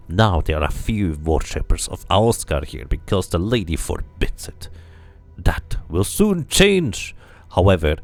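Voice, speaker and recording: A man's voice: sits low, full character voice. A man voicing a zealous male priest preaching fervently about a god of portals. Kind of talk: video game character reading.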